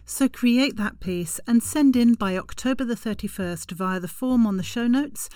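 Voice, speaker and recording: A woman's voice: on the high side, normal speed, warm tone, slight background noise. A woman co-hosting a podcast, presenting event announcements in a conversational promotional style. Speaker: podcast host and festival organiser.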